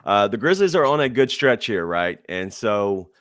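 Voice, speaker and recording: A man hosting a casual sports podcast, upbeat and quick to hype things up. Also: on the low side, sing-song, a bit bright, no room sound, studio quality. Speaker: podcast host.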